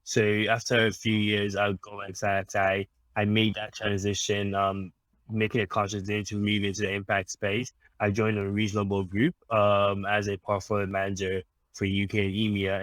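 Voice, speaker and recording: A man from Ghana, an impact investor, answering interview questions in a measured, explanatory way with frequent ums and restarts. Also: fairly low pitch, no room sound, low-quality recording.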